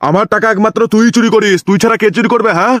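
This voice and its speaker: A man's voice: high-pitched, fairly even intonation, racing, a bit bright. A man voicing an irascible, foul-mouthed old grandfather in a comic cartoon, with a scolding, blustering manner. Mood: furious scolding.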